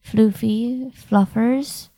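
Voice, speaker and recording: A woman's voice: a little high, fairly even intonation, very slow, warm tone, no echo. A woman narrating a tabletop roleplaying game as game master in an informal, conversational way.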